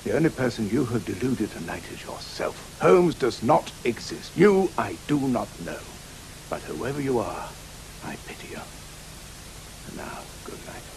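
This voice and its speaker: An actor playing a stern, stubborn gentleman author in a radio drama, speaking formally and indignantly with a British accent. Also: medium pitch, very animated, measured pace, noisy background. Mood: cold, dismissive. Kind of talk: studio radio drama acting.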